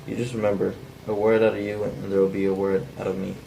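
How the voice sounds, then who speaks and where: A man reading the part of a menacing, bullying man in a flat, unpolished script read, with a gravelly voice. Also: fairly low pitch, quick pace, low-quality recording. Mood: threatening. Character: menacing, bullying man demanding car keys. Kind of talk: cold table read of a film script. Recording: faint background noise.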